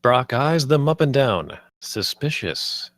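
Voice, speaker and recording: A man's voice: mid-range voice, sing-song, slow pace, fairly bright tone, no room sound. A man reading stage directions as the narrator at a screenplay table read.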